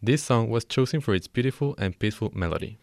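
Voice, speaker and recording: Male radio music presenter, slightly deep, scripted introductions in a close dry recording. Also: animated, fast, creaky, dry recording. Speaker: music host.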